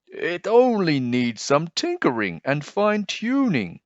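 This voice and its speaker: A man's voice: on the high side, huge pitch swings, slow pace, pitched above the performer's own voice, heavily acted. A man voicing an eager, confident inventor friend in a children's storybook, speaking out emphatically. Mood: excited hollering. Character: Leon, eager tinkering inventor friend, hollering encouragement. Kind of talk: children's storybook read-aloud. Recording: dull, roomy home recording.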